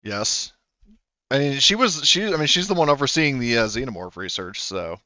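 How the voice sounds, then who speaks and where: A man acting as game master in a tabletop game, explaining story events with lots of pauses and restarts. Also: mid-range voice, animated, pushed and bright, no echo, muffled recording. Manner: hesitant, many ums and restarts.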